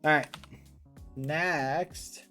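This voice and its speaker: A man's voice: a little high, steady tone, drawn-out words, bright, forceful tone, soft background music. A man live streaming, chatting casually with his viewers in a rambling, playful, mock-indignant way. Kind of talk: casual chat with stream viewers while gaming.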